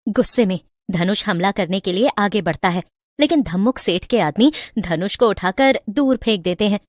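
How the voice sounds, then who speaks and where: A woman's voice: medium pitch, moderate pace, dry recording, studio quality. A woman storyteller reading a scripted moral tale in a dramatic narrating style.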